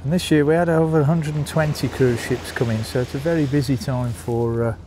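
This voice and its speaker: A man with a Birmingham accent strolls through a seaside town, filming on a phone and chatting to his viewers as folks. His voice is mellow and of middling pitch. A faint outdoor hiss sits under it. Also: fairly even intonation, fairly quick. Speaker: vlogger. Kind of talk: walking tour narration.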